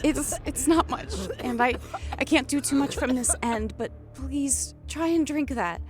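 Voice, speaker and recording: An actress playing a devoted, headstrong biologist on an oil rig in an audio drama, emotional and earnest. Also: female voice, sits high, a little slow. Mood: worried. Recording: music under the voice.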